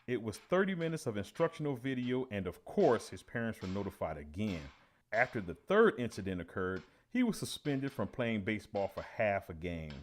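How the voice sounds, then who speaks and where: An actor playing an earnest veteran high school teacher giving a measured, official interview in a radio play. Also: male voice, lively intonation, a little hiss or hum, close to an everyday voice. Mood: official, unruffled.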